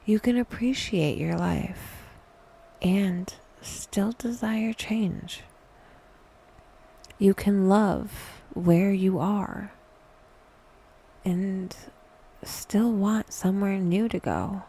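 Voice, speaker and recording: A woman leading a guided meditation in a soft, breathy whisper, intimate and deliberate, with long pauses. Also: medium pitch, a little hiss or hum.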